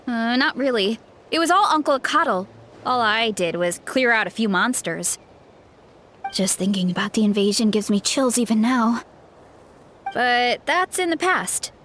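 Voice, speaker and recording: A woman's voice: fairly high pitch, measured pace, a bit bright, slight background noise. An actress playing a cheerful, modest young woman warrior in an anime-style video game, with an earnest, bubbly delivery. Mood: modest, easygoing. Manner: earnest, bubbly, self-effacing.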